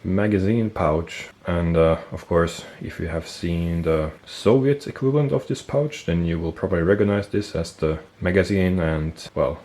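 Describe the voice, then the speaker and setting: A man with a stuffy nose, casually explaining military gear on camera, relaxed and thinking aloud with fillers and self-corrections. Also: sits low, moderate pace, few pauses. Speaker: military gear collector and video host. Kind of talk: casual show-and-tell explanation.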